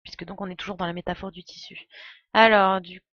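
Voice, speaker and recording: A woman's voice: mid-range voice, fairly even intonation, fast talker, fairly bright tone, dry recording, highs cut off. A woman giving casual live game commentary, explaining as she goes with hesitations. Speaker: game streamer.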